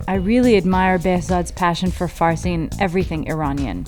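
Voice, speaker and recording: A woman's voice: lowish voice, brisk, darkish tone, faint music behind. A woman co-hosting a podcast, reading listener comments aloud and bantering with her co-host.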